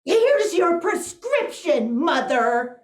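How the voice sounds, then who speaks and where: In a roomy stage sound, a woman plays a dutiful, long-suffering grown child in a 1940s radio comedy. The voice is high and swoops widely, with slow, melodramatic delivery. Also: very animated, heavily acted. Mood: syrupy, strained.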